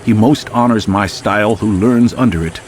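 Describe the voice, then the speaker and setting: A man reciting poetry dramatically as a voice artist, with measured, declamatory delivery and deliberate pauses between phrases. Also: on the low side, darkish tone, slight background noise. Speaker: voice artist reading poetry aloud. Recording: music and nature soundscape behind voice. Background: music, chirping insect sounds.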